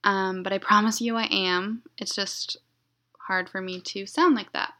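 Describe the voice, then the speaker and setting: A young woman hosting her own podcast, talking in a flat, monotone, rambling way and being blunt. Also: medium pitch, unhurried. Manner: blunt, unfiltered. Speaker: podcast host. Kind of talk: casual solo podcast intro.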